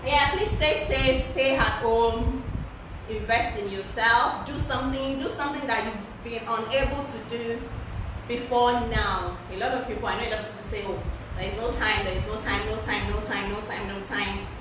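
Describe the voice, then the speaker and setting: A woman with a loud voice leads a sewing pattern lesson in a casual, chatty way, often repeating words for emphasis. She is heard from some distance in a very echoey room. A steady background noise runs under her voice. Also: fairly high pitch. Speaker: fashion pattern-drafting instructor. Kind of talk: live-streamed class, casual instruction.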